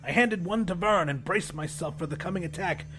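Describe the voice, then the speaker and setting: A man's voice: a little high, fairly quick, bright, forceful tone. A man narrating a horror audiobook in the first person, with an intense, theatrical delivery.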